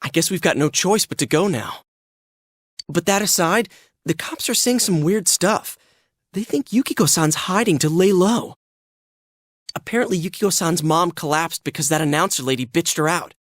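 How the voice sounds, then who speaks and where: A man voicing an excitable, earnest teenage boy in a video game dub, with a slangy, blurting way of talking. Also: a little high, normal speed, dry recording, studio quality, lightly acted. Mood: anxious, confiding.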